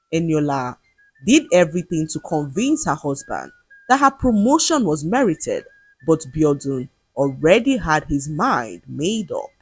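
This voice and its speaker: A woman narrating a scripted folktale in a measured, expressive way. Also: sits low, breathy edge, no room sound, poor audio. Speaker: storyteller.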